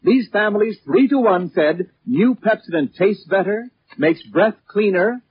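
A muffled vintage radio recording with faint music behind. An American male announcer in 1940s style sells emphatically, his high voice rising and falling dramatically. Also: expressive, unhurried, slight background noise. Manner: emphatic, selling.

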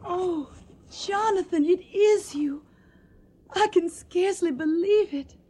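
High-voiced, earnest young Southern woman. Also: slow pace, dark tone. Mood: tender, anxious. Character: young Tennessee woman in Civil War, tender, troubled, stubborn. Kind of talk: radio drama acting.

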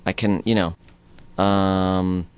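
Young man, Bay Area raised, interview guest; smooth resonant low voice, loose and chatty. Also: little pitch movement, takes it slowly, dry recording. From San Francisco Bay Area. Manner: easygoing, many fillers and restarts, laughs often. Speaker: guest comedian. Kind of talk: casual interview conversation.

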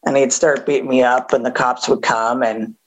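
A woman with a gravelly voice giving a candid recovery share, halting with many fillers and a wry edge. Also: a bass voice, fairly even intonation, highs cut off. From Southern California. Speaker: AA speaker.

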